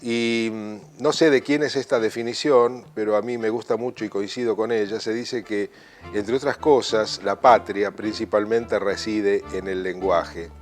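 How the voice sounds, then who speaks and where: A man's voice: lowish voice, steady tone, unhurried, soft background music. A man reflecting thoughtfully in an interview.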